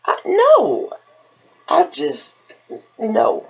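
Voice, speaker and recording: A woman vlogger telling stories in a casual, animated style, emphatic and theatrical, often acting out conversations and throwing out rhetorical questions. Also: on the high side, drawn-out words, husky, highs cut off.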